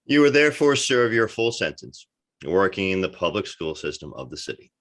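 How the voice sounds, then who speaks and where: A man acts a prison warden in a script read. His voice is deep and his delivery measured. He sounds stern, formal and fully official. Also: very animated, a bit bright. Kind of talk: screenplay table read.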